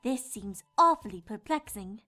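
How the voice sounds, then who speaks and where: Casting: woman to voice a doting, theatrical society-lady pony for a cartoon, bright and highly animated. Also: normal speed, soft background music. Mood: polite. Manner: theatrical, refined. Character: dramatic, genteel, doting female unicorn fashion designer. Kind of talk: cartoon fan-fiction voice acting.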